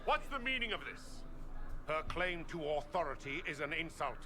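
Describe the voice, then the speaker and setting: A haughty, scornful Lord Seeker. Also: male voice, fairly high pitch, big pitch swings, normal speed, background noise. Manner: cold, scornful, commanding. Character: stern male Lord Seeker, haughty and contemptuous. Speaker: video game character. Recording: game audio with clear background ambience.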